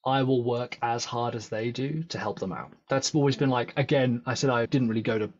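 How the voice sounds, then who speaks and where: Earnest British man, husky and lo-fi. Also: medium pitch, fairly even intonation, a little fast. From England. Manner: earnest, upbeat, thinks aloud with restarts. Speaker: podcast guest, agency founder. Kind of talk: casual conversational interview.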